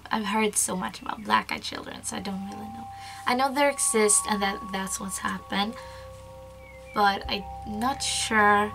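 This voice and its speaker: A young Swedish-accented woman chats her way through a creepy tale, rambling and slipping into hushed whispers. Her voice has a gravelly, fry-laden edge. Loud eerie music plays under her the whole time. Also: medium pitch, rough recording. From Sweden. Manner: chatty, rambling, slips into whispers and giggles. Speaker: YouTuber. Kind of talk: casual storytelling vlog. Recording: loud spooky background music.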